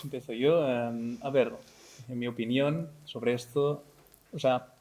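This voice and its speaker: A man's voice: mid-range voice, fairly even intonation, measured pace, a little mellow, a little hiss or hum, poor audio. A man speaking off the cuff as a panelist, informally, punctuating his points with rhetorical questions and tags. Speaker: panelist, technology company professional. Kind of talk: unscripted panel discussion.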